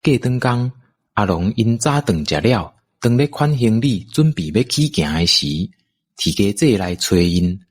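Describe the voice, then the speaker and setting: A low-voiced man with a Taiwanese accent narrates an audio drama. His voice is husky and he reads a scripted story. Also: sing-song, unhurried.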